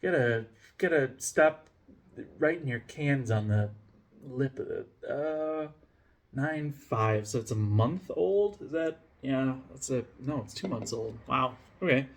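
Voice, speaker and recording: A man chats his way through a beer review, his voice sitting at an ordinary middle pitch. He rambles, restarts his sentences, drops a swear now and then, and makes wry jokes at his own expense. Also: animated, slow pace. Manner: rambling, self-mocking, profane, many fillers and restarts. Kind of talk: casual rambling review vlog. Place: home room, lives in Denmark.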